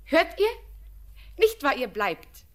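A gentle dreamy village girl. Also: sits high, big pitch swings, takes it slowly, exaggerated voice. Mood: pleading. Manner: soft, earnest, sometimes whispered. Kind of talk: classic radio play acting.

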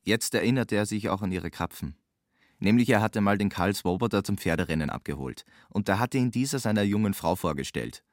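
A man's voice: on the low side, expressive, fairly quick, no room sound, clean studio sound. A man narrating a literary audio drama.

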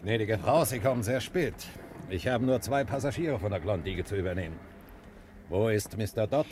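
An actor playing a stern, impatient, grumbling ship's captain in a German television drama. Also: male voice, on the low side, expressive, moderate pace, noisy background, close to an everyday voice. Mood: irritated.

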